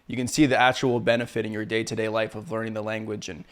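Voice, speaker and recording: A man from Canada hosting a casual interview, relaxed and chatty. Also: lowish voice, fast talker. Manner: relaxed, chatty, laughs often. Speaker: podcast host.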